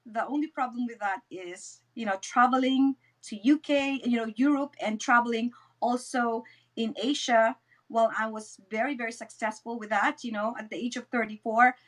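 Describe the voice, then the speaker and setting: High-voiced Filipino-accented woman giving a testimonial. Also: a bit bright. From Philippines. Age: middle-aged. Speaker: interview guest, network marketing business leader. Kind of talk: personal testimonial in an online interview.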